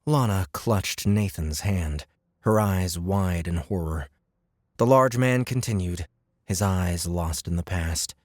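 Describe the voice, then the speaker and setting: A man's voice: low voice, slow pace, no echo, studio quality. A man narrating an audiobook with dramatic, scripted delivery. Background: loud music.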